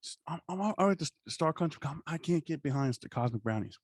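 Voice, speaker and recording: A man's voice: fairly high pitch, lively intonation, fast, no echo, studio quality. An American man co-hosting a podcast in casual, comedic chat, rambling along with plenty of swearing and slang. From New Jersey, USA. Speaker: podcast co-host.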